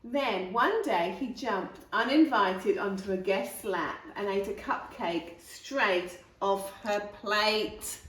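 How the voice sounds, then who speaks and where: A woman hosting storytime, reading a picture book aloud in an expressive way, stretching key words for young listeners. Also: mid-range voice, slow pace, hardly any pauses. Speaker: storytime host reading a picture book to viewers and her dog. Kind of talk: animated children's story reading. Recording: roomy echo, distant mic.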